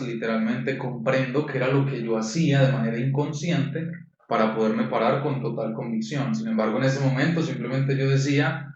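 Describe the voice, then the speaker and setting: A young man from Colombia answers an interviewer's questions in a measured, reflective way, often restarting his sentences. He sits away from the microphone. The room is heavily echoey and the sound is dull. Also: mid-range voice, a little mellow, recorded from a distance. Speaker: guest, neurolinguistic programming coach.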